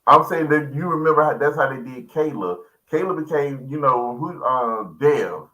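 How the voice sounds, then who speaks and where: A man's voice: mid-range voice, normal speed, pushed and bright, low-quality recording. A man co-hosting a casual chat, reacting with colloquial, incredulous interjections and questions. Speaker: guest co-host.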